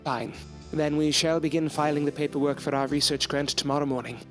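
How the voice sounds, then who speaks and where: An actor playing an absorbed, aloof, ambitious gentleman scientist in a gothic audio drama, with formal theatrical phrasing. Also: fairly high pitch, steady tone, fairly quick, soft background music, close to an everyday voice. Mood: scheming, formal.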